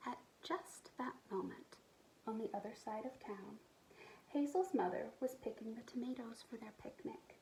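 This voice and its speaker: A woman reading a picture book aloud to young children in an expressive way, pausing to explain things to them. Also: fairly high pitch, gravelly, slight background noise, low-quality recording. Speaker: storytime reader for a school community.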